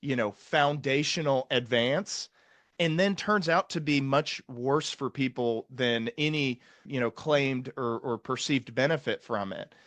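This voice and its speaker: A man hosting an interview, talking in an animated way and stringing together rhetorical questions. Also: mid-range voice, measured pace, no room sound. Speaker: podcast host. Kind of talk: conversational interview.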